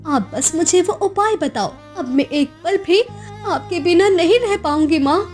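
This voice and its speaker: A woman voicing an innocent, devoted young orphan girl in an animated moral story, emotional, pleading and halting. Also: very high-pitched, faint music behind. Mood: earnest, determined. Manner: pleading, tearful, halting repetitions.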